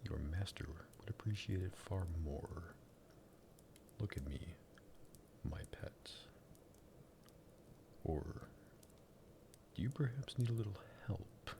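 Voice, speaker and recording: A man playing a sadistic, possessive vampire master in an ASMR roleplay, teasing and politely menacing, with a breathy, gravelly voice. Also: deep voice, animated, takes it slowly, pauses often, noisy background, full character voice.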